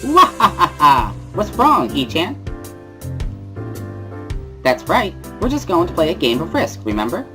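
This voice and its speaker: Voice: mid-range voice, huge pitch swings, a little slow, long pauses, pushed and bright, faint music behind, pitched above the performer's own voice, exaggerated voice. A reader voicing a bubbly, giggly teenage schoolgirl with a cheery, teasing sing-song delivery in a visual novel dramatic reading.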